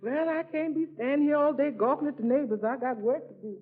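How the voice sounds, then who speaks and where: An actress playing a chatty, unflappable housekeeper with a folksy, rambling manner in 1940s radio comedy. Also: female voice, sits high, brisk, lightly acted. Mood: matter-of-fact. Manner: rambling, folksy, matter-of-fact. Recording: tinny, narrow 1940s radio recording.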